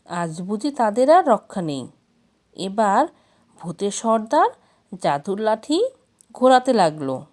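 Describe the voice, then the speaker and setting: A woman's voice: mid-range voice, sing-song, takes it slowly, pauses often, a little mellow. A woman storyteller narrating a folk tale expressively, as if reading from a storybook.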